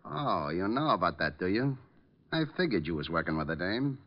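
Menacing gangster killer, slangy, ungrammatical, low voice with big swings, dull old radio recording. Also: male voice, sing-song, moderate pace. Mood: threatening. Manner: slangy, ungrammatical tough-guy talk. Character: menacing, sneering male gangster killer with crude wit. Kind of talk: vintage radio crime drama acting.

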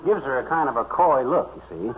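A man acts out an excitable, bumbling American husband from a vintage radio comedy. He rambles along in a folksy, self-satisfied way with very animated pitch. The old recording is muffled and heavily noisy. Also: fairly quick, natural voice. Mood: boastful, scheming. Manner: folksy, rambling, tongue-twisting wordplay. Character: boastful, excitable husband and bumbling amateur duck hunter. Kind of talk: 1930s-40s radio comedy acting.

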